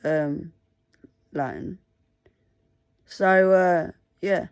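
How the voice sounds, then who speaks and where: A woman whose first language is Mandarin, talking earnestly to camera in a halting, pleading way. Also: fairly low pitch, vocal fry, smooth, no echo. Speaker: vlogger. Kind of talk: casual talk to camera.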